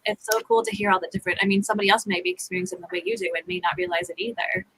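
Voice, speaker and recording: A woman's voice: on the low side, little pitch movement, very fast, a bit bright, a little hiss or hum, low-quality recording. A woman hosting an interview, asking questions and affirming her guest with enthusiasm. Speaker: host. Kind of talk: casual interview chat.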